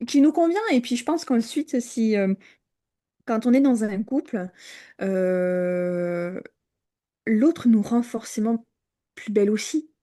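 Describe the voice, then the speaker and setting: A woman co-hosting a casual podcast chat, hesitant, with many fillers and restarts and frequent quiet drops. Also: on the high side, takes it slowly, pauses often. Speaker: podcast co-host.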